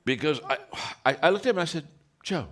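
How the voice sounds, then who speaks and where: A deep-voiced man gives a motivational talk full of personal stories. His pitch swings widely as he acts out quotes, and he often restarts his sentences. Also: expressive, quick pace, a bit bright. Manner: storytelling with repeated restarts and acted quotes. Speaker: leadership speaker.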